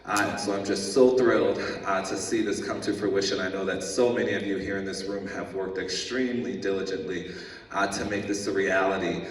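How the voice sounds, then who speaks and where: A man delivers earnest remarks at a ceremony, filling his pauses with um and uh. His voice sits low in pitch. He sounds distant from the mic in a large hall, with heavy echo and faint noise behind him. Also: fairly even intonation. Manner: earnest, many ums and uhs. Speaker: education official giving remarks. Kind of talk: ceremony speech. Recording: echoey hall from far off.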